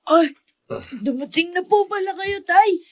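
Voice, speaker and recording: A woman's voice: very high voice, a little slow, dry recording, dull audio. A woman voicing an earnest, affectionate young schoolboy in a radio drama. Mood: concerned.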